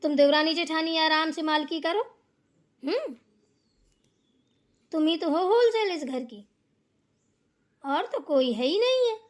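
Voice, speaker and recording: Woman acting nagging high-pitched older mother-in-law. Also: expressive, unhurried, long pauses. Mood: sarcastic, exasperated. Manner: nagging, sarcastic, rhetorical questioning. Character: scolding, domineering older mother-in-law of a village household. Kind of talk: amateur Hindi family cartoon dialogue.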